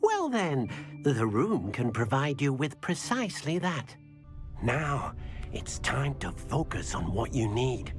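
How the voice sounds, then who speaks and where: Eager, humble house-elf, very animated. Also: male voice, mid-range voice, takes it slowly, soft background music. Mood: helpful, earnest. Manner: speaks of self in third person, deferential. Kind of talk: video game voice acting.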